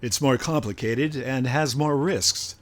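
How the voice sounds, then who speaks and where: A man playing a smooth, businesslike go-between for criminals in a contemporary radio drama. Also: medium pitch, a little slow, lightly acted. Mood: smug, businesslike.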